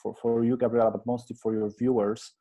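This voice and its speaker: Soft-toned Polish man, hesitant. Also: mid-range voice, flat delivery, quick pace, highs cut off. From Poland. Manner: hesitant, many restarts and fillers, earnest. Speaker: interview guest, agency founder. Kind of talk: conversational interview.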